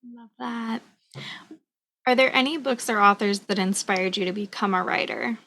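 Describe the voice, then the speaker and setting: A woman hosting an author interview with a gravelly edge to her voice. She asks short questions and answers with brief encouragement. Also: medium pitch, moderate pace, sharp tone.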